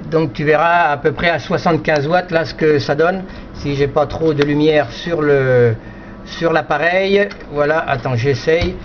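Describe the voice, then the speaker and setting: A male radio amateur with a slightly high voice explains things casually, in choppy phrases that he often repeats. The sound is a little dull, with faint noise behind him. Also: a little slow. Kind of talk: casual technical radio chat.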